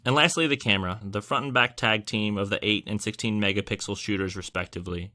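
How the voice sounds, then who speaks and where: A man's voice: fairly low pitch, steady tone, quick pace, sharp tone. A man hosting a scripted product review, narrating with wry, joking asides. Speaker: tech reviewer and host.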